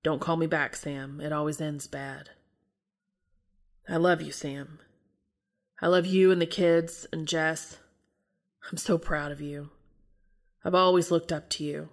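A woman's voice: sits low, steady tone, unhurried, long pauses. A woman voicing a despairing, sick man, a loving older brother who speaks with weary, tender resignation in an audiobook-style story. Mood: weary, tender grief.

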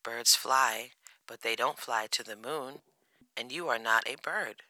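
A narrator voicing a motherly female bear, gently teasing and reassuring, in a children's storybook. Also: mid-range voice, a little slow, no echo, rough recording. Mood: skeptical, teasing. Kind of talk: children's storybook character reading.

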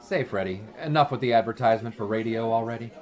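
An actor playing a wry, self-assured 1920s sportswriter in an audio drama, with a dry, measured manner. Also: male voice, mid-range voice, darkish tone, lightly acted. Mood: dry teasing. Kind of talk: period audio drama acting.

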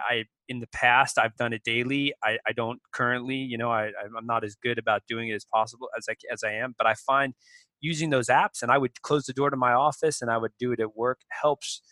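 A man answering interview questions in a measured, thoughtful way, with small restarts. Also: medium pitch, bright, forceful tone, dry recording. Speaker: podcast guest.

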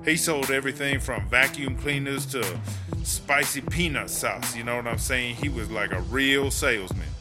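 A man playing a sassy, gossipy, foul-mouthed streetwise woman inmate in a comedy audio drama, with slangy, drawn-out exclamations. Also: medium pitch, lightly acted. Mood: nostalgic, savoring. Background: music.

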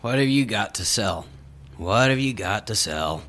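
A man's voice: fairly low pitch, expressive, measured pace, a bit bright. A man playing a greedy, callous old rascal of a junk dealer in a live dramatic reading. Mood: gruff, callous. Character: greedy gray-haired old rascal running a squalid junk shop.

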